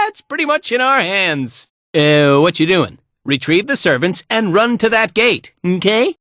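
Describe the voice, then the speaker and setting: A man's voice: sits high, bright, forceful tone, no room sound, clean studio sound, exaggerated voice. A man playing a spirited, laid-back fighter and guide in a video game, with a breezy, colloquial way of talking. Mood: excited, urgent. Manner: breezy, colloquial, tagging lines with casual check-ins. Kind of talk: animated video game voice acting.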